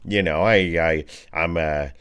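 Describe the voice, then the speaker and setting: A man voicing a boastful, sensual nobleman who rambles in a drawn-out, folksy way during a tabletop game. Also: sits low, fairly bright tone, clean tone. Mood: smug, halting. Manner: drawn-out, folksy, self-satisfied storytelling.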